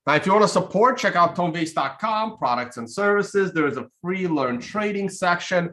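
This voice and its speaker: Rambling man in his thirties, a bit high. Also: lively intonation, a little fast, pushed and bright. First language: Russian. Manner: rambling, off-the-cuff, many fillers and restarts. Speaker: trading show host. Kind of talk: casual live-stream chat. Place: home studio room, indoors. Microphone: webcam video call.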